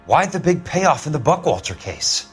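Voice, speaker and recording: A man's voice: on the high side, very animated, normal speed, husky, soft background music, full character voice. An actor playing a relentless male investigator in a video game, terse, commanding and confrontational. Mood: pressing. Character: hard, blunt male investigator, relentless and accusing. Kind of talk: dramatic video game acting.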